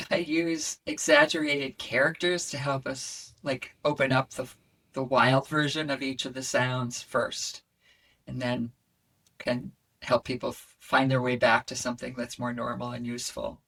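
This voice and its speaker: A woman voice coach explaining her ideas in an animated, conversational way and breaking into demonstration sounds. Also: very deep voice, a little slow. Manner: expressive, demonstrates voices with yawns, howls and shouts. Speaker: voice coach guest.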